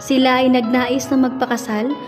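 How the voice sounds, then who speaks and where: A woman's voice: high-pitched, level tone, moderate pace. A young woman with a Filipino accent reading scripted narration for a radio drama. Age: young adult. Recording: reverberant hall, music behind.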